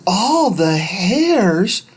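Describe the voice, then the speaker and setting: A woman voicing a spirited young girl adventurer in a children's storybook, expressive and a bit teasing. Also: medium pitch, very slow, breathy edge, pitched above the performer's own voice, full character voice. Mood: curious.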